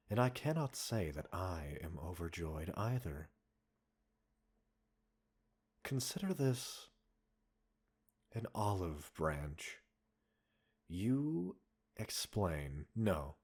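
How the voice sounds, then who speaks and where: A man playing a cold, condescending villain scientist in an audio roleplay, a possessive captor speaking in a measured, mocking, formal way. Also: low voice, a little mellow, slightly rough, exaggerated voice. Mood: cold, controlled. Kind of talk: dramatic audio roleplay acting addressed to listener.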